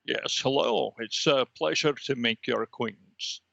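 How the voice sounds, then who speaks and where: A man voicing a serene extra-dimensional light being with formal, solemn, halting speech on a comedy podcast. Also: medium pitch, creaky, pushed and bright, no echo, dull audio, exaggerated voice. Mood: courteous, lofty. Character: lofty extra-dimensional red dot energy being, serene and cosmic. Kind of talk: improvised comedy podcast character.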